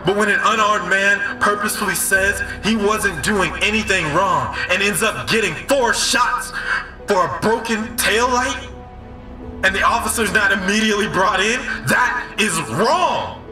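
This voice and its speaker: A man's voice: high-pitched, measured pace, bright, forceful tone, heavily acted. An actor playing an earnest, principled man making an emphatic speech for justice in an audio drama. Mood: grave, indignant. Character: John Mark, impassioned, principled male street orator calling for justice. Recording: echoey, distant, with music behind.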